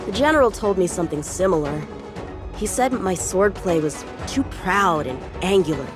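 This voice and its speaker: A woman's voice: lowish voice, animated, moderate pace, music in the background, lightly acted. A woman playing an eager, proud teenage boy swordsman in a video game, chatty, cocky and earnest. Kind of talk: English video game voice acting.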